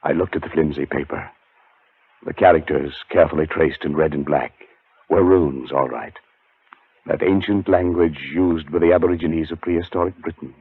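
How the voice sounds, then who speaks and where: A man acting in a 1940s radio drama as a haunted, educated gentleman, telling his story in a formal, deliberate, dramatic way. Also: very deep voice, husky, dry recording, exaggerated voice. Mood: grave. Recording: muffled 1940s radio recording, music behind.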